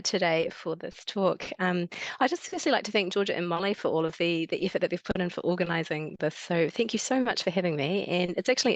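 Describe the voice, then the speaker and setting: A woman with a New Zealand accent gives an online talk as a wildlife biologist, friendly and chatty with plenty of ums and restarts. Her voice sits a little low for a woman. The sound is dry and close, with no room echo. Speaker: guest speaker, wildlife biologist.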